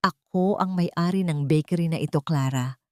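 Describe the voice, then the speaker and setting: A woman's voice: deep voice, normal speed, darkish tone, no room sound, clean studio sound, close to an everyday voice. A woman voicing a wary, wronged bakery owner in an audio story, guarded and controlled. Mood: firm defiance.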